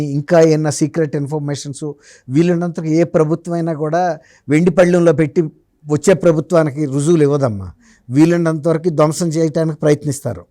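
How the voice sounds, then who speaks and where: A man's voice: on the high side, dark tone, dry recording, studio quality. A man giving conversational political analysis as a journalist being interviewed, explaining emphatically and posing rhetorical questions.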